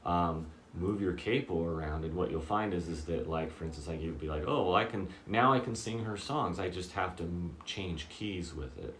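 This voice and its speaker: A man with a very low voice giving a casual guitar lesson, checking in often with "okay?" and "does that make sense?". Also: moderate pace, hardly any pauses, a bit bright, reverberant, slight background noise. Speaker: guitar teacher.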